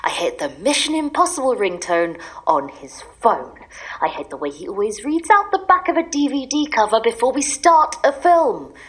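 A woman's voice: fairly high pitch, sing-song, moderate pace. An actress playing a desperate, exasperated wife who is sick of her husband, in an audio drama. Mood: bitter, exasperated. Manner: ranting in long bitter lists, sometimes dropping to a secretive whisper.

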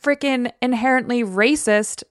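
A woman's voice: on the high side, measured pace, dry recording, clean studio sound. A woman co-hosting a podcast, chatting in a casual, opinionated way. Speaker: podcast co-host.

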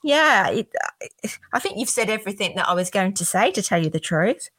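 A woman's voice: medium pitch, sing-song, brisk, a bit bright. A woman co-hosting a music podcast, chatting enthusiastically and saying "like" often. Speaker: podcast co-host. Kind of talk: casual music chat.

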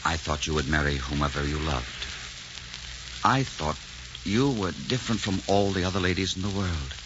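A man's voice: sits low, moderate pace, natural voice. An actor playing a proud, gallant, romantic Frenchman in theatrical 1940s radio style. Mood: cool, wounded. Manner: theatrical, impassioned. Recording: noisy, muffled 1940s radio recording.

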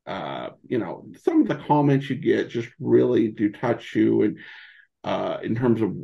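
A man chatting casually as a podcast interview guest, speaking haltingly with repeated false starts. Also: on the low side, very animated, gravelly, mellow, dull audio.

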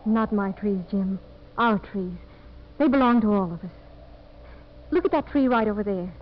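A woman acts an earnest, idealistic heroine in 1950s radio style. Her voice sits at an average pitch for a woman. The old recording is a little muffled, with faint noise. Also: normal speed, lightly acted. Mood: earnest wonder. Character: earnest, idealistic heroine who loves the forest. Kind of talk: 1950s radio drama acting.

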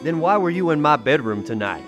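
A man's voice: a little high, very animated, brisk. An actor playing a blunt, exasperated and sardonic company man in a 1930s-style radio mystery. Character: blunt, exasperated male company rival, protective and sarcastic. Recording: music behind the voice.

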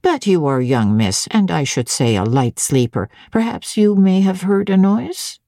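Audiobook servant woman, voiced by a female reader: very low, mellow, stolid and composed, with guarded, pointed phrasing. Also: very animated, no room sound, clean studio sound. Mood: wary, pointed. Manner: phlegmatic, measured, insinuating.

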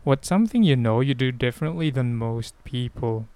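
A man's voice: mid-range voice, lively intonation, normal speed, dark tone, clear, resonant tone, dry recording. A man with a Filipino accent hosting a casual solo podcast, answering questions in a hesitant, rambling way as he thinks aloud. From Philippines. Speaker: podcast host.

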